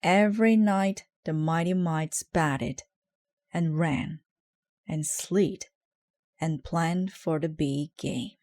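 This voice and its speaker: Female children's story reader, lowish pitch, very unhurried delivery, dry sound. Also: a little mellow, dry recording. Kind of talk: read-aloud children's story narration.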